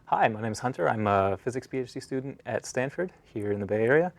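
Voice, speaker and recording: A man's voice: mid-range voice, lively intonation, fast talker, creaky, no echo. A young man with an American accent, speaking casually as a guest songwriter. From American Midwest and West. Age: young adult. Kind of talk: casual self-introduction.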